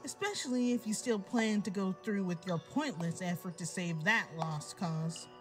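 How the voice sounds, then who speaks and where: A woman voicing a sadistic villainous mare who taunts her captive in a slow, mocking drawl. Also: mid-range voice, faint music behind, lightly acted. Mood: menacing taunt. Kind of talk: fan audio drama acting.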